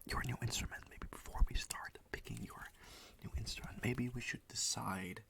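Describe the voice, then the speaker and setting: A man whispering and speaking softly in a gentle ASMR roleplay as a music teacher, repeating words slowly and reassuring the listener. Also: medium pitch, steady tone, creaky.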